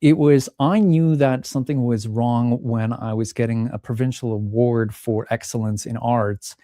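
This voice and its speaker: A middle-aged man from the Czech Republic talks as an interview guest in a mellow, warm voice, recorded dry and close. He speaks thoughtfully, often restarting phrases, and acts out remembered lines of dialogue. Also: medium pitch, steady tone, normal speed, dry recording. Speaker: pianist and podcast guest. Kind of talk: reflective interview talk.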